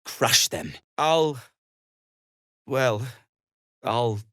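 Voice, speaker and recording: An actor playing an anxious, devoted gnome inventor in a video game, speaking in a hesitant, politely formal way. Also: male voice, mid-range voice, extremely slow, bright, forceful tone, clear, resonant tone, no echo, clean studio sound. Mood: grieving, defeated. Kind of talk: video game character acting.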